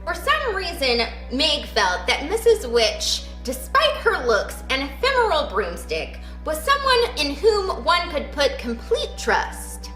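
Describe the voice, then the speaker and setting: Female storybook reader, bright, slightly high voice, expressive delivery, roomy echo with music. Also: slow, few pauses.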